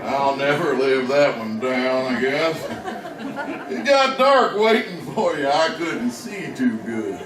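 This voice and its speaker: A man's voice: medium pitch, very animated, slow pace, crowd noise. An actor playing a stubborn, blunt Ozark hillbilly gunman with a folksy drawl in an old-time radio western. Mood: grudging, folksy. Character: lanky hillbilly feudist, stubborn, matter-of-fact, with a rifle. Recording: roomy, distant vintage radio recording with voices.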